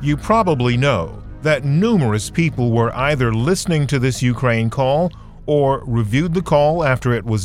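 A male podcast host delivers scripted news narration. His voice sits at a medium pitch, and music plays behind him as he explains.

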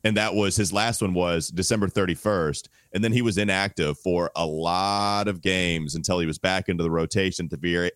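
A man co-hosting a casual sports podcast, under the weather and keeping his strained voice at low volume. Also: no room sound, studio quality.

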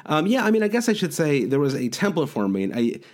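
A man's voice: fairly high pitch, big pitch swings, fast. A man from the American Midwest with a head cold and a nasal Midwestern accent, hosting a casual podcast chat and rambling with lots of fillers and restarts. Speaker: podcast host.

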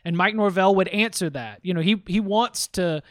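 A man's voice: sits high, moderate pace, dry recording, clean studio sound. A man hosting a sports podcast, talking things through casually and often restarting his words. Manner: rambling, repeats words while thinking.

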